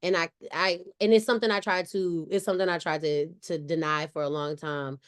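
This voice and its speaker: Podcast guest, woman, light Southern accent, lower pitch, chatty with restarts. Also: fairly even intonation, brisk, clean tone. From Cleveland, Ohio. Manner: chatty, many "like" fillers and restarts. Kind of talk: casual conversation.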